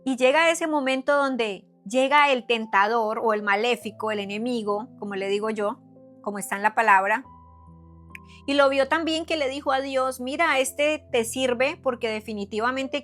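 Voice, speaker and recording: A woman's voice: fairly high pitch, steady tone, moderate pace, a bit bright, faint music behind. A middle-aged woman with a Colombian accent from Cali, giving an earnest, emphatic devotional talk with repeated phrases and rhetorical questions. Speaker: psychotherapist giving faith talk. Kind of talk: personal testimony and devotional talk to followers.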